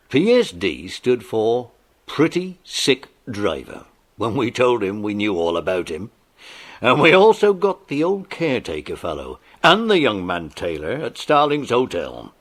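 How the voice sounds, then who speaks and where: An actor playing a hearty, authoritative police sergeant in an audiobook drama. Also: male voice, medium pitch, a bit bright, heavily acted. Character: hearty, brisk police sergeant, grateful to child detectives. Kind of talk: audiobook radio-play dramatisation.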